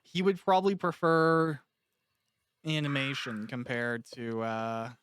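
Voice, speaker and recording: Male podcast interviewer, smooth and crisp, informal and talkative, medium pitch. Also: fairly even intonation, takes it slowly, a bit bright, dry recording, clean studio sound. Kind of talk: casual interview chat.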